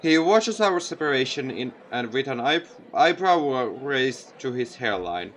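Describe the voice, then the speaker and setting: A man's voice: mid-range voice, sing-song, a little slow, a bit bright. A man reading visual novel text aloud as a let's play host, theatrical, sometimes stumbling over words and correcting himself.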